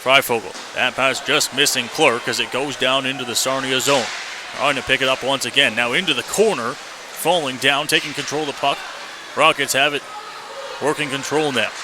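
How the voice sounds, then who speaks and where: Man calling live hockey over loud arena noise. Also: medium pitch, moderate pace. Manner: clipped running phrases, excited shouts on big plays. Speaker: radio hockey play-by-play announcer. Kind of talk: live sports radio commentary. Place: indoor ice hockey arena. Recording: noisy live arena broadcast.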